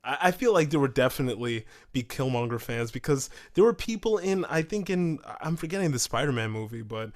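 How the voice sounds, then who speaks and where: A man's voice: medium pitch, lively intonation, brisk, hardly any pauses. A man chatting casually as a podcast co-host, thinking aloud in a tentative way.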